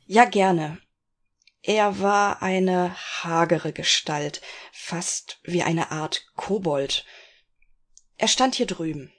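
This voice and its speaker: A woman's voice: on the low side, very slow. A woman playing a polite middle-aged former actress in a detective radio play parody. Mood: reflective.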